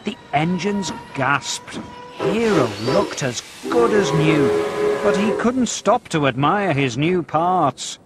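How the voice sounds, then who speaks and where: A man narrating a children's story in an expressive, simple way, with short prompts addressed to young listeners. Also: fairly high pitch, slow pace, loud music behind the voice.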